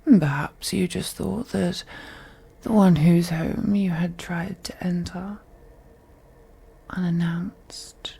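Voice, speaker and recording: A haughty, possessive female vampire, acted by a woman in a scripted audio drama, toys with a captive listener. Her voice is dark and very breathy, often sinking to a whisper. She takes her time over each phrase, seductive and condescending. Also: mid-range voice, exaggerated voice. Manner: slow, teasing, condescending, haughty. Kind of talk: scripted audio roleplay monologue.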